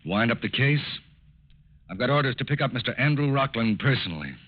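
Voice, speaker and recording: A man's voice: mid-range voice, lively intonation, a little fast, close to an everyday voice. An actor playing a determined, cool-headed male FBI agent in a 1940s radio drama. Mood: confident, earnest. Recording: noisy, muffled old radio recording.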